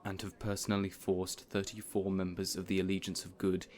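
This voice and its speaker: A man playing a cold, menacing supervillain in an audio roleplay, speaking in a measured, formal, quietly threatening way. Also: sits low, flat delivery, slight background noise, close to an everyday voice. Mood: cold menace.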